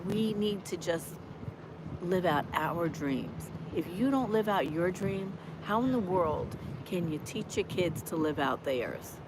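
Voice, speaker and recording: Hoarse woman in her 50s encouraging outdoors. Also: fairly low pitch, animated, slow. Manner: encouraging, chatty, preacher-like exhortation. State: hoarse from a cold. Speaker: motivational vlogger. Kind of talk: live-streamed walking vlog. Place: outdoors on a college campus. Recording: noisy outdoor street ambience.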